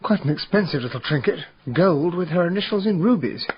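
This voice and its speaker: A man's voice: on the high side, expressive, brisk, slight background noise, lightly acted. An actor playing a detective's earnest male companion in a radio drama. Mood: intrigued. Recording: dull, muffled radio drama recording.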